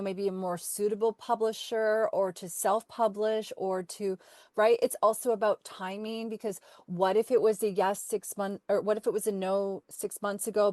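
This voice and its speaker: A woman's voice: medium pitch, a little mellow, dry recording, clean studio sound. A woman hosting a podcast, asking long, affirming questions in an enthusiastic, rambling way. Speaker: podcast host. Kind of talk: conversational interview.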